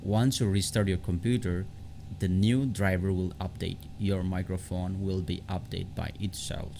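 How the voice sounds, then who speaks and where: A man hosting an unscripted software tutorial in a halting, repetitive way, often restarting his phrases. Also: low-pitched, steady tone, vocal fry, slight background noise. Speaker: tutorial host.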